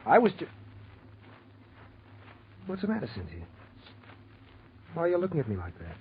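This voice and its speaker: A man's voice: a little high, wildly swooping pitch, normal speed. An actor playing an earnest, intense man wronged and pleading his innocence in an old radio drama. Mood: confident, earnest. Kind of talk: 1940s radio acting. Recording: muffled, noisy old radio recording.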